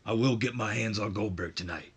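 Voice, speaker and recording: A low, husky tough male wrestler, acted out by a man, cutting an angry promo. He is blunt, gruff and confrontational, swearing as he threatens. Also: a little fast. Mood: menacing.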